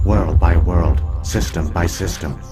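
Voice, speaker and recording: A deep-voiced ancient artificial intelligence from a science fiction game. It is the grave keeper of a dead people and speaks in measured, formal phrases. Clear music plays behind it. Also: male voice, steady tone. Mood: grave recounting.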